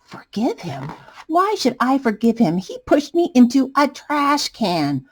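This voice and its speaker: A woman acts out a male potato from a children's storybook. He is excitable and easily panicked, wailing and exclaiming with big drama. The pitch leaps high and swings widely. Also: measured pace, breathy edge, exaggerated voice. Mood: indignant whining.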